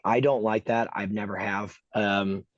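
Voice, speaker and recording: A man's voice: on the low side, fairly even intonation, unhurried, no echo, highs cut off. A man co-hosting a podcast, talking casually and bluntly, ranting with emphasis and frequent swearing. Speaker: podcast co-host.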